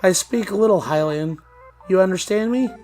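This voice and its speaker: A man with a slightly hoarse voice voicing an odd little sky-city shopkeeper creature that speaks in halting, broken phrases. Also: high-pitched, darkish tone, faint music behind, lightly acted. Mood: inquisitive.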